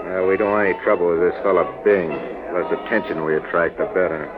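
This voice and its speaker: An actor playing a level-headed, businesslike hero with a terse, matter-of-fact delivery in 1940s radio drama style. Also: male voice, sits low, quick pace, music in the background, close to an everyday voice. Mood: dry, cautious. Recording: dull old-time radio recording.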